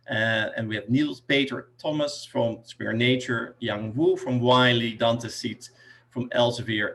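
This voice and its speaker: A man's voice: mid-range voice, creaky, a bit bright. A man moderating an online panel, introducing guests with frequent fillers. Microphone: video call.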